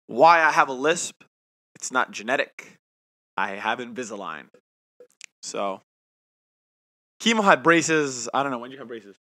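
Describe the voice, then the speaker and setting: A young man in his twenties chats casually as a podcast co-host, speaking with a noticeable lisp. His voice is bright and forward, recorded close and dry with no room sound. He rambles and pokes fun at himself. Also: mid-range voice, lively intonation, a little slow, pauses often, no echo. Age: 20s. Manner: talks with a lisp from new aligner trays; chatty, self-mocking. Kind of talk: casual chat.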